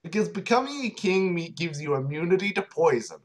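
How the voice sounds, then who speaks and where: A man's voice: on the high side, very animated, brisk. A man giving casual, wry comic commentary as co-reader, breaking in with asides.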